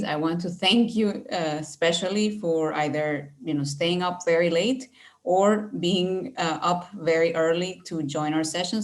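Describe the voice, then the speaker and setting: Woman, from Venezuela, middle-aged, lowish voice, webinar presenter, video call audio, friendly, measured, frequent fillers. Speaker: university recruitment director.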